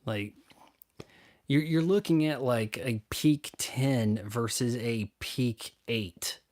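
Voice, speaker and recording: A man's voice: medium pitch, slow pace, a little mellow. A man hosting a live stream, casually answering viewer questions in a blunt, opinionated, rambling way.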